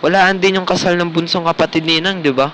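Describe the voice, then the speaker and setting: Guy acting polite but stubborn young filipino son in law, kinda high voice, radio drama, faint noise. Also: steady tone, quick pace, fairly bright tone. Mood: exasperated reasoning. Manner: polite, reasoning, defensive.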